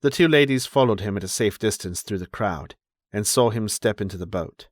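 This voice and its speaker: A man narrating an audiobook as a measured, dramatic storyteller reading scripted literary prose. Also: on the low side, expressive, dry recording, clean studio sound.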